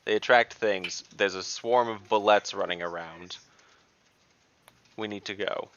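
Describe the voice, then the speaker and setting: A man talks as a player in an online tabletop game, firm and dryly argumentative. His voice is fairly low but bright and forceful, captured close with slightly dull sound. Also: measured pace, no echo. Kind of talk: casual in-game discussion.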